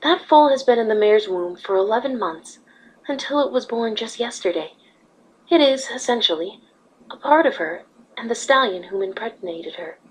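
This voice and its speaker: Woman playing a husky-voiced, regal pony princess. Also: on the high side, expressive, moderate pace, low-quality recording. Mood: calm, probing. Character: calm, regal, gentle pony princess, female, peace-seeking. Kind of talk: fan fiction audio drama acting.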